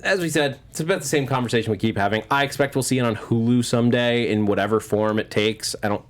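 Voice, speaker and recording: A man's voice: mid-range voice, fast talker. A man hosting a panel show, discussing movie news in a casual way.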